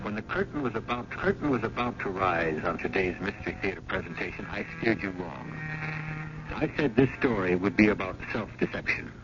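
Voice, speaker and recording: Male host-narrator, lowish voice, deliberate and theatrical with dramatic pauses. Muffled 1970s radio broadcast. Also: animated, noisy background. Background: prominent music.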